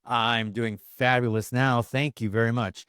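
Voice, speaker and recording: A man's voice: medium pitch, fairly even intonation, a little slow, a bit bright, clean tone, dry recording, studio quality. Upbeat man hosting an interview. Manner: upbeat, emphatic host introductions. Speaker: podcast host.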